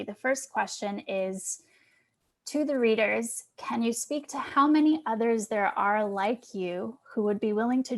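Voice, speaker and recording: Mid-pitched woman moderating an online panel. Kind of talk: hosting online audience questions.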